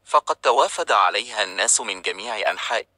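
Male storybook narrator, calm and even, low-ish and very bright tone, dry close mic. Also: quick pace, dry recording.

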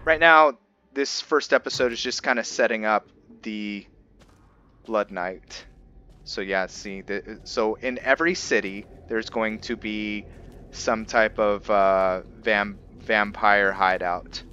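A man giving casual, halting, explanatory commentary as he hosts a gameplay video. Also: on the low side, bright, forceful tone, muffled recording, slight background noise. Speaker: gaming video host. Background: video game ambience.

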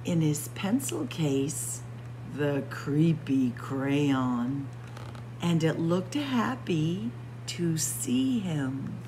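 A woman reading a picture book aloud in a dramatic, suspenseful story-time style, sometimes hushed for emphasis. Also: low-pitched, very slow, background noise. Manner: suspenseful, expressive, drops to hushed whispers for emphasis. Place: indoor library room with bookshelves and windows.

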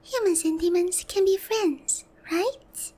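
A very high, girlish-pitched demon queen, acted by a woman for roleplay ASMR. She speaks slowly and theatrically, often slipping into breathy near-whispers. Her haughty, teasing pride covers a lonely, easily flustered heart. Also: warm tone. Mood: touched, hopeful.

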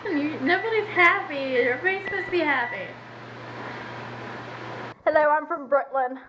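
A young woman with a fairly high voice jokes and teases her way through a casual home video. She sounds hyper and playful, recorded in a living room by a camera propped on a counter. Clicks and handling noise come through clearly. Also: animated, normal speed. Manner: playful, goofy and hyper, teasing. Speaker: vlogger filming family. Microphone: camera propped on a counter nearby.

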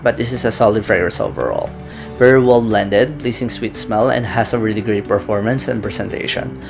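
A young man with a lowish, gravelly voice narrates a product review in a steady, methodical way. He describes each detail carefully, like a prepared script. Background music runs clearly under his voice. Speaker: fragrance reviewer. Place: small indoor room with brick-pattern wall.

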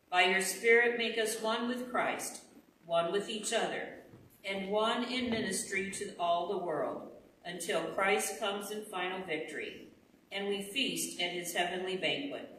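A woman pastor reciting a communion prayer in a measured, solemn way, in a church sanctuary. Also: mid-range voice, a bit bright, a little hiss or hum. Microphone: far room camera. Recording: echoey sanctuary from far off.

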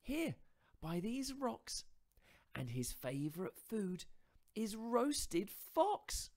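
A tiny, clever storybook mouse talks in a high, swooping voice. It is cheeky and boastful, with a chirpy sing-song delivery. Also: male voice, very animated, extremely slow, darkish tone, heavily acted.

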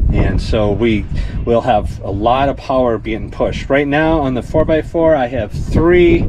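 A man in his fifties walking through a van electrical setup in a rambling, self-deprecating, think-aloud way, filmed on a handheld camera inside a van. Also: mid-range voice. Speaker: van-build vlogger. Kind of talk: casual how-to walkthrough. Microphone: handheld action camera. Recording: noisy van interior.

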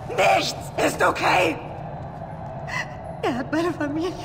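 Intense woman over loud game noise. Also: extremely high pitch, slow, creaky, full character voice. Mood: desperate, urgent. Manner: intense, urgent. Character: desperate, commanding woman pleading for her captive family. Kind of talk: dramatic video game dub.

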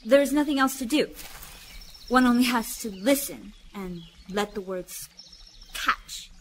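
A woman playing a sick local woman in an audio drama, with a halting, deliberate, dramatic delivery. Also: a little high, background noise, lightly acted. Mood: earnest, pondering. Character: sick local woman, pleading, then scornful and defiant.

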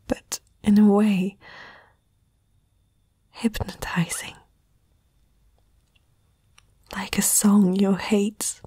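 A woman playing a proud, frightened young princess in a whispered fantasy ASMR roleplay, speaking formally and haltingly in a breathy voice. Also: medium pitch, mellow, no echo, studio quality. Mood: entranced. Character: proud, frightened eighteen-year-old princess confronting a night intruder. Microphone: close microphone, dry.

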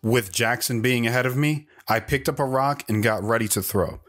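A man's voice: medium pitch, moderate pace, a bit bright, soft background music, natural voice. A man playing a wanderer recounting his hard life in first-person audio drama narration. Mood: reflective.

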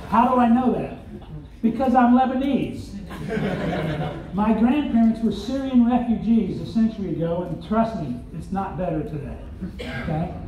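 A man's voice: high voice, normal speed, warm tone, slight background noise. An older man from Arkansas with a Southern accent, giving a conference keynote with rhetorical questions and wry asides, recorded from the audience in a large hotel hall. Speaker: keynote speaker. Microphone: far phone camera in audience. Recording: distant echoey hall, dull audience phone recording.

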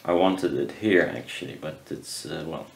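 A man giving casual, halting commentary while building something at a workbench, muttering as he thinks aloud. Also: extremely low pitch, vocal fry. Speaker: DIY maker. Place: indoor workshop at a cluttered workbench. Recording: roomy echo, loud workshop noise, clicks.

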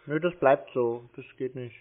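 A man on a phone call, slow and muddled, stubbornly complaining to the caller. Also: mid-range voice. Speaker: call recipient stringing scammers along. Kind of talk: prank phone call with scammers. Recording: tinny, band-limited phone line.

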